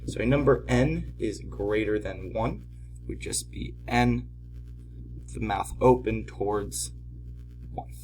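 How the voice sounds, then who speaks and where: A man teaching in an informal tutorial style, patiently explaining step by step, his voice slightly hoarse from a cold. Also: fairly low pitch, slow, pauses often, darkish tone, soft background music, rough recording. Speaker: math teacher. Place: at home, at a desk.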